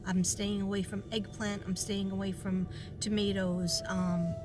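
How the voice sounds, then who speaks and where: A hoarse woman talking casually to her viewers in a rambling way, full of fillers and restarts. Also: medium pitch, little pitch movement, measured pace, music in the background. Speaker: psychic reader.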